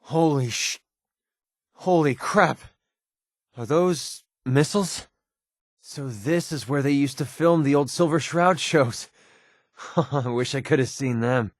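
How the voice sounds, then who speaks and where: Casting: male actor as young American wasteland gun-for-hire for a game, mid-pitched, sardonic and casual, very dry recording. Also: takes it slowly, long pauses, dry recording, close to an everyday voice. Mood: alarmed, disgusted, then wry. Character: wry young male mercenary sniper in a post-apocalyptic wasteland. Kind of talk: video game companion dialogue.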